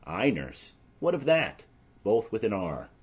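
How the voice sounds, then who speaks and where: A man's voice: on the low side, huge pitch swings, measured pace, slightly rough, full character voice. A man reading the part of an earnest, lovestruck young nobleman in a formal, poetic, insistent manner for a tragedy audiobook. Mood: eager.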